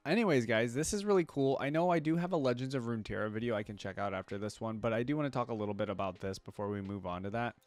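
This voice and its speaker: A man's voice: medium pitch, very fast, few pauses, dry recording. A man hosting a reaction video, giving casual commentary in an informal, musing way.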